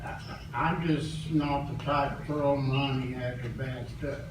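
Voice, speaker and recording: A man comments at a public meeting in a deliberate, skeptical way. He speaks slowly and evenly, far from the mic in a very echoey space. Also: medium pitch, fairly even intonation. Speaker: county board member. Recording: very echoey room, distant mic, noisy.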